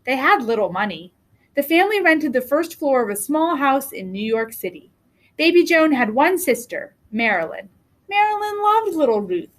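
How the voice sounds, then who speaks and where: A woman reading a children's book aloud as a storyteller, with deliberate, expressive phrasing. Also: high voice. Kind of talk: read-aloud storybook narration.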